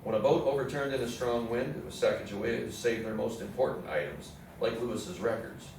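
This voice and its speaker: A man's voice: mid-range voice, fairly quick, creaky. A man reading scripted historical narration as the narrator of a stage reading. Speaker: narrator in a readers theatre play. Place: indoor theatre room with audience program. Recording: echoey hall, distant mic, faint noise.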